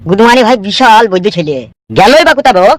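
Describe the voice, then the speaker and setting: A man's voice: sits high, lively intonation, fast, no room sound. A man voicing brash, lecturing village men in a comedy cartoon, with a blustery manner. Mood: blustering, angry. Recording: music behind the voice.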